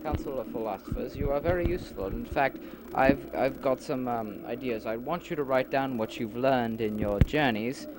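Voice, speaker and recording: An earnest leader played by a man patiently lays out how a new society works, in formal but halting phrases. The voice sits at an ordinary male pitch. It comes from an amateur audio drama, on a homemade recording thick with noise. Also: lightly acted. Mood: earnest, explanatory. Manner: halting, explanatory, formal wording with many hesitations.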